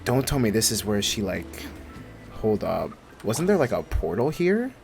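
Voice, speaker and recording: Male gaming streamer, young: low-pitched, casual, slangy, sweary reactions over faint game music. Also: big pitch swings, measured pace, gravelly, a little mellow. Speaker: gaming YouTuber.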